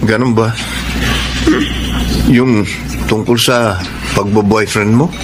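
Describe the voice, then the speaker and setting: An actor with a Filipino accent playing an earnest, sentimental, devoted rich college boyfriend in a radio romance drama. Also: male voice, on the low side, fairly even intonation, slow pace, very noisy. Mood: concerned. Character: earnest, devoted rich young college boyfriend, narrating his love story.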